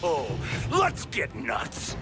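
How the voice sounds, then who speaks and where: A man's voice: on the high side, huge pitch swings, drawn-out words, over music, full character voice. An actor playing a brash, combative showman fighter in a video game, theatrical and goading. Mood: manic goading. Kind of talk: video game cutscene acting.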